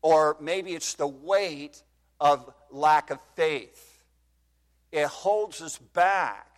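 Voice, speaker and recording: A man's voice: a little high, very slow. A man in his fifties preaching a sermon in a church, reading scripture in old-style wording and putting questions to the congregation. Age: 50s. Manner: reads scripture in King James wording. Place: church hall, slightly echoey. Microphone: microphone.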